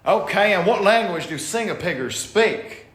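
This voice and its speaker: A man's voice: high voice, animated, normal speed, echoey room. A man playing a blustering, ignorant US senator who badgers a witness in a comedy sketch. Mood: triumphant. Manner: badgering, mocking, loud. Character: blustering ignorant male US senator, pushy interrogator.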